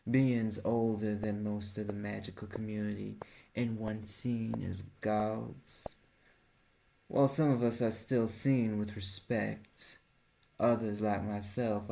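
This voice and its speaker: Man playing a slow, gravelly Southern incubus. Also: fairly low pitch, mellow, full character voice. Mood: guarded, stern. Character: alluring male incubus, ancient suave flirtatious business dealer. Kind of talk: roleplay audio drama, intimate second-person address.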